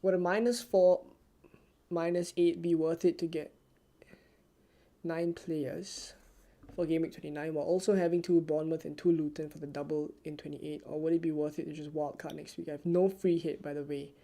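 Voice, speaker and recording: A streamer from Singapore, answering viewer questions in a live stream with a soft, low-key, almost ASMR-like delivery. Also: little pitch movement, moderate pace. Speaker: fantasy football streamer.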